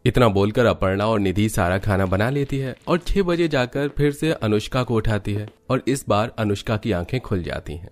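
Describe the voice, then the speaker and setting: A man's voice: lowish voice, lively intonation, normal speed, hardly any pauses, faint music behind. A man narrating a scripted cartoon family story as its storyteller.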